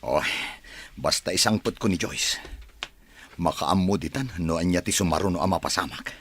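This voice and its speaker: A man's voice: deep voice, normal speed, slight background noise, lightly acted. A man playing a headstrong, lovestruck son of a wealthy family in a radio drama. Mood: defiant.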